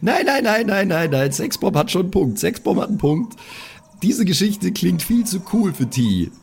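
Sardonic day-drinking woman for an improvised audio play, played by a man, raspy and very animated in pitch. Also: normal speed, exaggerated voice. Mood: dismissive. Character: Charlotte, mocking day-drinking woman, dismissive and dry.

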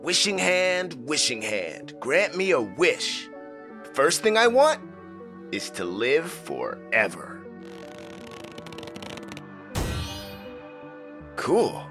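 A man's voice: fairly high pitch, wildly swooping pitch, slow pace, music in the background, exaggerated voice. An actor playing a gullible, self-satisfied man with a naive, earnest delivery in a comedy-horror radio play.

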